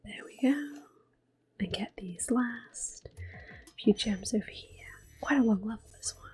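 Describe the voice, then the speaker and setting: A woman giving hushed, gentle, soft-spoken ASMR commentary as she plays a video game. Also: medium pitch, measured pace, husky. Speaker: ASMR gaming host. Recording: soft music in the background. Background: quiet game music.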